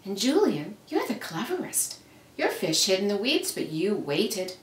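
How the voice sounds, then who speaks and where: A woman voicing a gentle, loving storybook mother who speaks with tender reassurance. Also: mid-range voice, sing-song. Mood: praising, reassuring. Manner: tender, reassuring, unhurried praise. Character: gentle, loving mother of two young boys. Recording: roomy, noisy, distant microphone.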